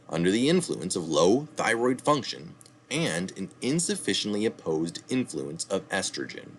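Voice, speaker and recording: A man's voice: low-pitched, vocal fry, slight background noise. A man reading a health article aloud, now and then correcting himself and adding short asides. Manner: reads steadily, with small slips and asides.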